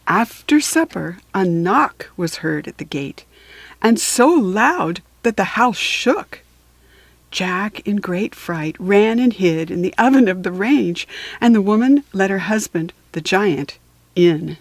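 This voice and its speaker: A woman reading a children's story aloud for beginning readers, measured and deliberate, with storytelling emphasis. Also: mid-range voice, animated, no room sound. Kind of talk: slow, careful read-aloud for beginning readers.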